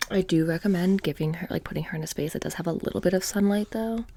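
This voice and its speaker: A woman playing a petty, blasé immortal witch shopkeeper in a soft-spoken ASMR roleplay, rambling casually and slipping into whispers. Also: fairly low pitch, fast, background noise, natural voice. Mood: casual, confiding. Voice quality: soft, breathy, creaky. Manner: casual rambling, many "like" fillers, drifting into whispers. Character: blasé, petty immortal witch running a haunted trinket shop.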